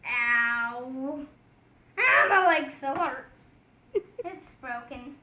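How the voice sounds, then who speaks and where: Bright high-voiced child playing puppets in echoey room. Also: very animated, extremely slow. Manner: theatrical, exclaiming and giggling. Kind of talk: improvised puppet show with acted character voices. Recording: echoey room with some background noise.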